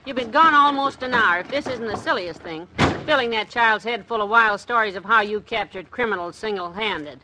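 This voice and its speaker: A woman's voice: fairly high pitch, moderate pace, sharp tone, poor audio. A woman playing a sensible American wife in a 1950s radio comedy, scolding but fond. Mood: amused scolding. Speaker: comedy actress in a radio sitcom. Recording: old radio recording with faint noise.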